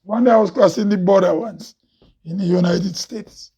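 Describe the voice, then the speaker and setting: A man from Grenada with a Grenadian accent and a loud, heavy voice, hosting an impassioned monologue full of rhetorical questions. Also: high-pitched, normal speed, husky. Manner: preachy, rhetorical questions, laughs often. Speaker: host. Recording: dull lo-fi recording.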